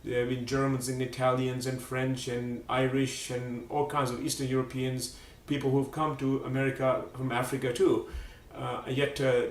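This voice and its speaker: A man hosting a live religious program, speaking haltingly with many fillers. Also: mid-range voice. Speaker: program host. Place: Seattle area. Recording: roomy, distant mic.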